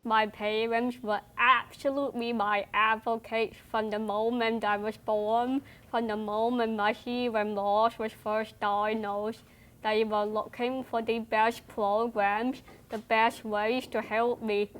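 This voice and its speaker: Young female interviewee, personal storytelling, very even and unhurried. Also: a little high.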